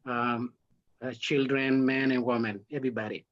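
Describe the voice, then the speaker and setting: A man from Honduras with a Garifuna accent, a native Garifuna speaker explaining history in an interview in halting English, searching for words. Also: mid-range voice, fairly even intonation. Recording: dull lo-fi sound.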